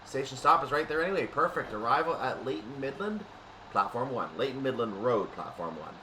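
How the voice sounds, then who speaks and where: A man's voice: medium pitch, a little fast. A man giving casual, methodical gameplay commentary, narrating each action step by step as he goes. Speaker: gaming video host. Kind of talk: casual live train simulator commentary. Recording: distant mic with loud steady background noise.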